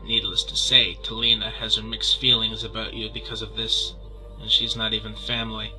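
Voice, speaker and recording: A man's voice: on the low side, level tone, normal speed, sharp tone, soft background music, rough recording. A man playing a concerned, understanding confidant in an audio drama, frank and familiar.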